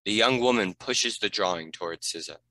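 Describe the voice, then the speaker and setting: A man's voice: on the low side, fairly quick, sharp tone, no room sound. A man giving scripted narration, reading out the stage directions of a screenplay.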